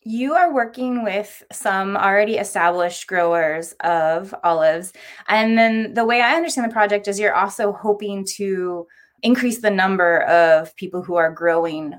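A woman speaking as the host of a community radio show, introducing segments and interviewing guests. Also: lowish voice.

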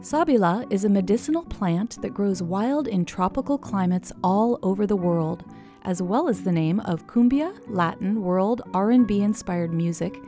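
A woman's voice: mid-range voice, expressive, normal speed. A woman hosting a podcast, delivering scripted narration. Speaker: podcast host. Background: music.

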